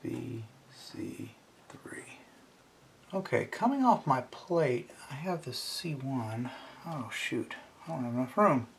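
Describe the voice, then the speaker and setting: A middle-aged man teaches in a casual, informal way, talking himself through each step. His delivery is slow, with a creaky, gravelly edge, and he tends to trail off into murmurs. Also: mid-range voice, lively intonation, slight background noise. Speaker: instructor. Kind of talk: casual hands-on tutorial, thinking aloud. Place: indoors at a wooden workbench.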